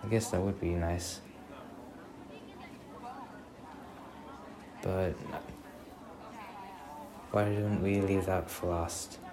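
A man playing a gentle, hesitant young boyfriend in a soft-spoken ASMR roleplay, reassuring his date. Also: low voice, level tone, takes it slowly. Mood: sheepish, hesitant. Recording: crowd ambience and noise behind voice.

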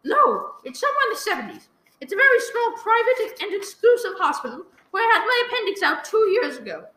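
A woman's voice: very high voice, big pitch swings, brisk, sharp tone, full character voice. A woman playing a panicky, demanding invalid wife in a radio play, stammering and pleading. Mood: impatient, nervous. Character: frantic, demanding, self-pitying invalid wife alone at night. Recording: roomy echoing live recording.

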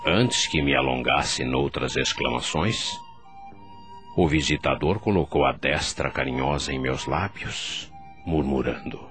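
An actor playing a remorseful doctor in the afterlife, telling his story gravely and sorrowfully in a Brazilian radio drama, with a Brazilian accent. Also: rumbling low, unhurried, fairly bright tone, soft background music. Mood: grave narrating. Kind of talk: dramatic first-person radio narration.

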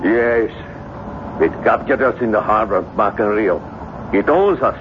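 An actor playing a fearful, fatalistic captive ship's captain in a 1970s radio drama, halting and full of foreboding. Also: male voice, fairly low pitch, close to an everyday voice. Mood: fatalistic dread. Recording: muffled, noisy old radio broadcast.

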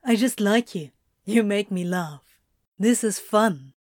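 A teasing, firm male exercise coach in an audio story, acted by a woman. The delivery is unhurried and playful, at a middling pitch for a woman. The sound is very dry, with coaxing authority in each instruction. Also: darkish tone, no room sound, natural voice. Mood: teasing, amused. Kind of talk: acted audio fiction reading.

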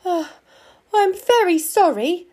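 A woman voicing an excitable, mischievous male fox in a children's story, with an eager manner. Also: sits high, unhurried, voice pushed up. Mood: apologetic, awed.

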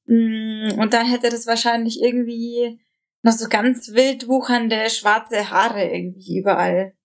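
A woman's voice: a little high, steady tone, measured pace. A young woman chatting casually as a podcast co-host, giggly and fond of telling anecdotes. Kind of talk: casual chat.

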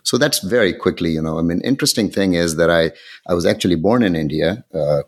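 A man in his sixties from Pakistan, a podcast guest calmly recounting his upbringing and education. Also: low-pitched, fast talker. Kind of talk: recounting his life story.

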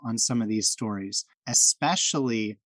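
A man's voice: lowish voice, a little slow, a bit bright, no echo, clean studio sound. A man hosting a podcast, chatting in a friendly, enthusiastic way with frequent restarts and fillers. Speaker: podcast host. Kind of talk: casual podcast chat.

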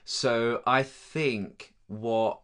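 A young man from Denmark, a native Danish speaker, chatting easily about his studies with many pauses and ums. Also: medium pitch, fairly even intonation, fairly bright tone, smooth. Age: young adult. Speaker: drama student guest. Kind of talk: casual chat.

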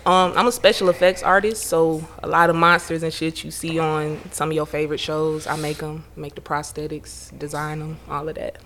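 Bright-voiced Atlanta woman, blunt. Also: low voice, flat delivery, a little hiss or hum. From Atlanta, Georgia. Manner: blunt, laughing, speaks in quick bursts. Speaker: podcast guest. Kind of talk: casual chat.

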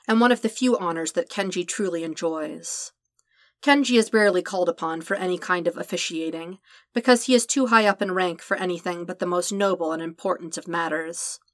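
Low-voiced woman reading a story. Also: a bit bright, no echo, clean studio sound. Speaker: podfic reader. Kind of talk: scripted narration of fan fiction.

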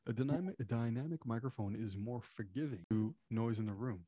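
Host, french-native guy, mid-pitch, dry close dynamic mic, playful and explanatory. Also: a little fast, mellow, husky, no echo, lo-fi sound. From Canada. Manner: joking, explains gear at length. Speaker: podcast host. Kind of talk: casual interview chat. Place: remote video call. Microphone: dynamic podcast microphone.

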